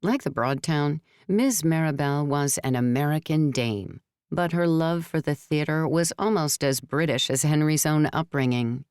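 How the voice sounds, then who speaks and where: Lady reading an audiobook, pretty deep voice, super clean and dry, measured storyteller. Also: darkish tone, no room sound. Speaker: audiobook narrator. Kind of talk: scripted narration of a novel.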